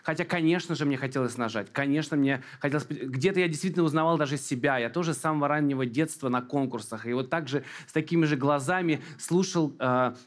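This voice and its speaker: A male jury member with a fairly high voice, speaking earnestly and with measured care. The recording is clean. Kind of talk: judge's feedback.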